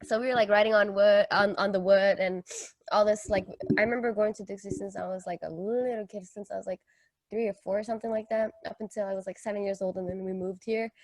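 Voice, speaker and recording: A young woman co-hosting a podcast, originally from Kenya. She tells personal stories in informal, slangy language with a joking manner, at a middle pitch. The sound is dry and close, with no room echo. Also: steady tone, fast talker. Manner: informal slangy talk, jokey. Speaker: podcast co-host. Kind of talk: casual chat, personal storytelling.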